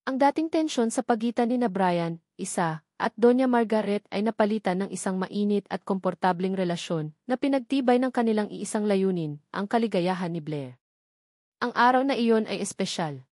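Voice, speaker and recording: A woman's voice: mid-range voice, animated, moderate pace, a little mellow, no room sound, clean studio sound. A woman narrator reading a scripted romantic drama story in a dramatic storytelling style.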